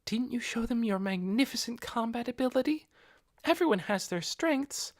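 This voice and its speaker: A man's voice: very high-pitched, lively intonation, normal speed, no room sound, studio quality, voice pushed up. A man voicing a devoted, haughty female adventurer who speaks formally and indignantly in an audiobook. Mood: indignant devotion.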